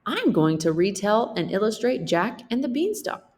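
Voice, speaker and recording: A woman's voice: mid-range voice, big pitch swings, moderate pace, darkish tone. A woman teacher reading a picture book aloud as a lesson for children, explaining things simply and checking in with questions.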